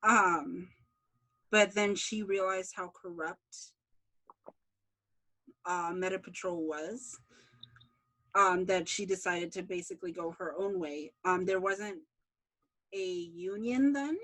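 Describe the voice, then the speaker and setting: A woman's voice: on the low side, slow, pauses often, sharp tone, rough recording. A woman being interviewed as a series creator, explaining things informally in casual conversation.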